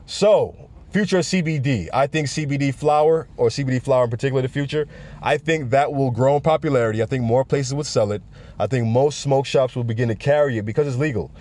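Deep-voiced man hosting a podcast rant. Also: quick pace. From South Florida. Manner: projects his voice, relaxed and conversational, fond of "you know". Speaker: podcast host. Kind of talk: casual solo podcast rant.

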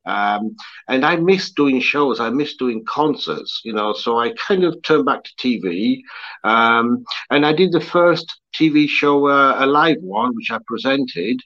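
A man's voice: mid-range voice, expressive, a little slow, a bit bright, muffled recording. A man from Bradford with a Bradford accent touched by Italian, a guest talking casually about his events and shows, rambling and full of fillers and repeated words. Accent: Bradford English with an Italian touch. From Bradford, England. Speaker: interview guest, events organizer and TV presenter. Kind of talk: casual conversational interview.

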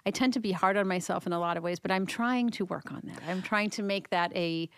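A woman's voice: lowish voice, a little fast, a bit bright, clean tone, dry recording, clean studio sound. A woman therapist who answers interview questions in a friendly, thoughtful way and laughs often. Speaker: therapist guest.

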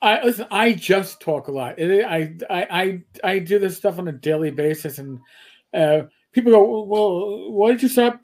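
A man with a high-pitched voice talks in a loose, rambling way. He restarts his sentences over and over and swears freely while co-hosting a casual podcast chat. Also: lively intonation, moderate pace, darkish tone. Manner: rambling, stammering, blunt and profane. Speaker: podcast co-host.

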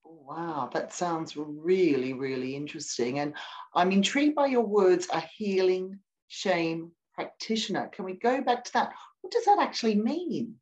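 A woman's voice: lowish voice, animated, normal speed, darkish tone, dull audio. A woman with an accent between English and Australian, hosting an interview podcast and giving an encouraging, attentive ear to her guest. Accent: mixed English and Australian. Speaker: podcast host. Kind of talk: hosted interview.

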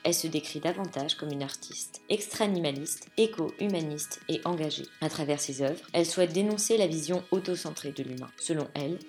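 Female podcast host, low register, polished scripted narration. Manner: poised, even read-aloud delivery.

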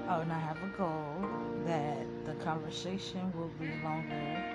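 A woman with a soft, low voice hosts an unscripted solo podcast and talks straight to her listeners. Her chat is loose and rambling, full of slang. Guitar music plays loudly behind her. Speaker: podcast host. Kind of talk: casual solo podcast monologue. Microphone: phone.

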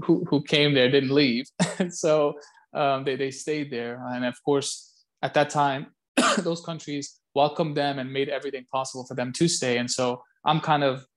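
A man from Belgium answers interview questions in a casual way. His voice sits at a medium pitch, and he speaks haltingly, often doubling up on words as he puts his thoughts together. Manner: halting, repeats words as he goes. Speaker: interview guest, call center site director.

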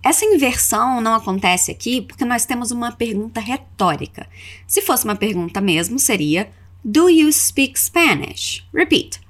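A woman's voice: on the high side, lively intonation, moderate pace, a bit bright. A woman with a Brazilian accent teaching a scripted language lesson as a podcast host, instructive, carefully enunciating example phrases for repetition. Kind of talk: scripted language lesson with repeat-after-me drills.

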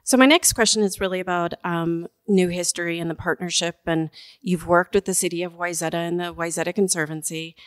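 A woman's voice: on the low side, fairly even intonation, a little fast, dry recording. A middle-aged woman, a mayor hosting a monthly podcast, friendly and chatty as she introduces and questions her guest. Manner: friendly, chatty, a bit scripted. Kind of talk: podcast interview.

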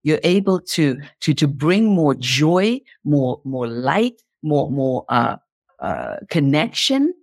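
A woman's voice: low-pitched, very animated, slow pace, darkish tone, no echo, studio quality. A woman speaking as an interview guest, explaining in an impassioned motivational style and repeating phrases for emphasis.

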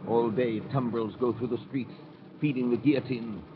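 A man's voice: medium pitch, fairly even intonation, moderate pace, natural voice. A man playing a grave storyteller, delivering scripted narration in an old radio drama. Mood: grave, ominous. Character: grave storyteller of revolutionary Paris. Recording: very noisy, tinny old radio recording.

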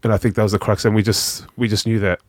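Laid-back young Kiwi man, low voice. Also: fast, no room sound, studio quality, soft background music. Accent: New Zealand. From New Zealand. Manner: relaxed, rambling, lots of "you know" and "um", says "bro". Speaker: guest, charity founder. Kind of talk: casual podcast conversation.

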